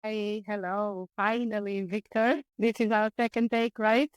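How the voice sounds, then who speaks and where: A woman's voice: medium pitch, steady tone, unhurried, clean tone, dry recording, rough recording. A middle-aged woman from Angola, a guest speaking casually in a podcast interview, often restarting her phrases. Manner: warm, restarts phrases often, laughs easily. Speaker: guest, dance teacher.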